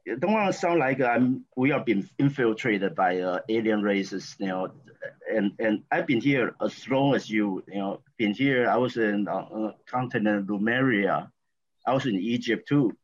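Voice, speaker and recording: A man from Taiwan with a Taiwanese accent, a guest talking in a halting, rambling way with lots of fillers and restarts. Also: lowish voice. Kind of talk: casual interview talk. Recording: dull lo-fi audio.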